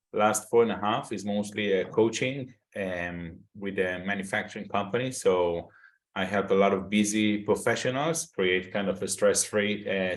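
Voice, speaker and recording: Low-voiced man with an Israeli accent. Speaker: business coach. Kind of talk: round-table discussion.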